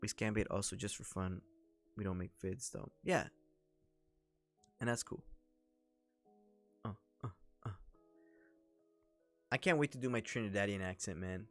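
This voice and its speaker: A man's voice: on the low side, unhurried, pauses often, a bit bright, faint music behind. A man hosting a casual livestream, talking in a rambling, chatty way with many fillers. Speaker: livestream host and scam baiter.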